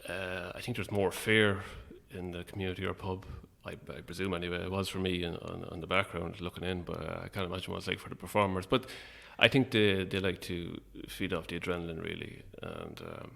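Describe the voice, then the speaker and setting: A man's voice: low-pitched, a little fast, creaky, hardly any pauses, sharp tone. An Irish man chatting casually as an interview guest, a little hesitant and self-effacing. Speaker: guest, playwright.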